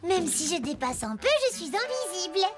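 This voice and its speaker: A woman's voice: very high-pitched, sing-song, normal speed. A woman voicing a big, childlike, earnest female dinosaur toy in a children's cartoon, with music playing behind her. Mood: proudly explaining.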